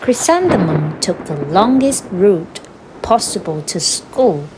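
A woman reading a children's picture book aloud in an expressive storytelling style. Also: medium pitch, slow, noisy background. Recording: clear music behind the voice. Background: background music.

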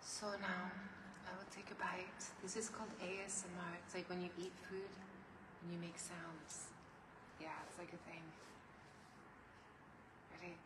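A young woman talking gently and rambling on an ASMR eating video, slipping into soft whispers, filmed sitting on a bed in a bedroom. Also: fairly low pitch, level tone, unhurried, long pauses. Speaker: ASMR creator. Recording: echoey room from far off, noisy.

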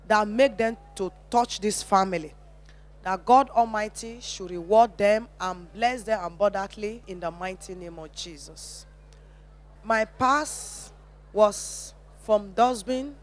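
A middle-aged woman with a Nigerian accent gives a devout, earnest testimony, phrasing her thanks with emphasis. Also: medium pitch. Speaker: church member giving testimony. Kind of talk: religious testimony.

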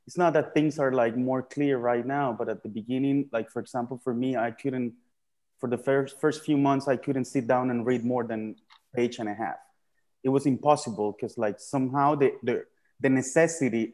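A man from Colombia speaking as a panel guest on a video call, reflecting slowly with many fillers, restarts and repeated words. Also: medium pitch, a little mellow. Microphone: video call webcam.

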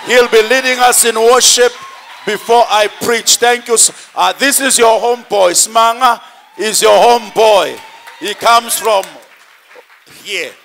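Middle-aged man, South African accent, sermon delivery, emphatic repetition, slow-paced, sharp bright tone. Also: very high-pitched, creaky, big-room sound, a faint crowd, slight background noise. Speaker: preacher. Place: large church hall.

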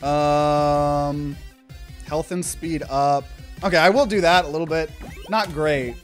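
Excitable high-voiced young man gaming. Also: slow, soft background music. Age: 20s. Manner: excitable, swears freely, chats with viewers. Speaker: streamer. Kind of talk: live gameplay commentary.

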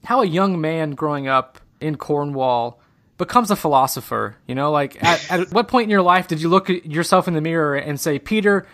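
A man's voice: a little high, moderate pace, fairly bright tone. A man hosting a podcast, reading an introduction and then chatting in a friendly, easygoing way. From United States. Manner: friendly, easygoing, laughs often. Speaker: podcast host. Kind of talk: scripted intro then casual interview.

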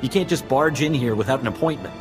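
A man's voice: mid-range voice, lively intonation, fast talker, over music, lightly acted. A man playing a dutiful, officious mayor's aide in a video game. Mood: grave, officious.

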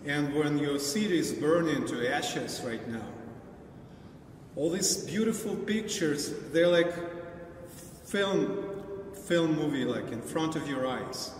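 A middle-aged man from Ukraine with a Ukrainian accent, speaking emotionally and haltingly about what a poet's words mean to him, recorded in a large church from a distant camera. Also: on the high side, lively intonation, a little hiss or hum. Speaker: choir singer. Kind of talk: heartfelt personal testimony. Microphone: far camera mic. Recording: echoey church from far off.

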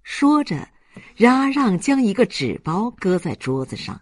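A man's voice: sits high, very animated, measured pace, dry recording, studio quality. A man narrating a classic novel as an audiobook in a dramatic literary style.

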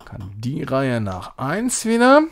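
A man commentates live on a game in a casual, chatty way. His mid-pitched voice moves up and down in a lively manner as he rambles and thinks aloud. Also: slow pace. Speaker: game streamer, host.

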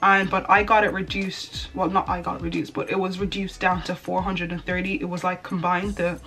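A woman's voice: fairly low pitch, little pitch movement, a little fast, sharp tone, low-quality recording. A young woman chatting to camera in a small bedroom, rambling and often correcting herself. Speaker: beauty vlogger. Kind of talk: casual chat to camera. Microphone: camera in front at desk distance.

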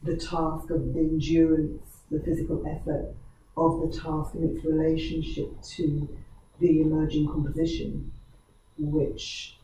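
An audience member asking a long question, halting and searching for words. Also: medium pitch, completely flat delivery, dark tone. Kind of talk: question from the floor. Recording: very echoey room from far off, noise.

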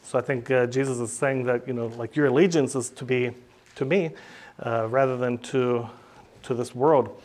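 A man's voice: mid-range voice, fairly even intonation, normal speed, a little mellow. A middle-aged man, preaching a teaching sermon at a podium in a small church and speaking plainly with many "uh" and "um" fillers. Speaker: pastor. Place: small church room, indoors. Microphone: podium microphone.

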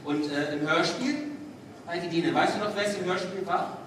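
A man's voice: high voice, moderate pace, fairly bright tone. A man casually moderating a live panel on stage as host. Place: large indoor stage hall. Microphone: handheld wireless mic, distant recording. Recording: echoey hall, distant and noisy.

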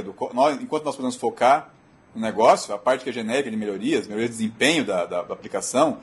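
Male technical speaker, Brazilian Portuguese accent, mid-pitched husky voice, explanatory and halting presentation delivery. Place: auditorium.

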